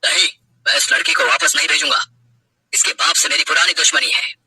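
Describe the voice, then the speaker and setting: A man's voice: a little high, steady tone, a little fast, sharp tone, breathy edge, full character voice. A man playing a bitter, menacing bandit in a dramatic story. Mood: vengeful, menacing. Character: vengeful male bandit, bitter, menacing, later remorseful. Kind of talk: dramatic Hindi audio story acting.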